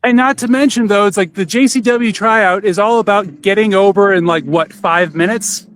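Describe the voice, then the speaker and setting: A man's voice: high-pitched, moderate pace, a bit bright, no room sound. A man hosting a podcast in a casual, conversational style. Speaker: podcast host.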